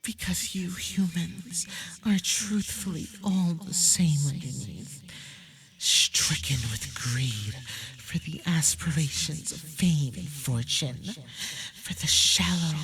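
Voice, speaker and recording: A woman voicing a menacing, sadistic male fox spirit in an ASMR roleplay, taunting prey in a breathy, half-whispered manner. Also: low-pitched, expressive, slow pace, a bit bright, exaggerated voice. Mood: cold, menacing. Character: menacing femboy kitsune, sadistic forest predator mocking prey.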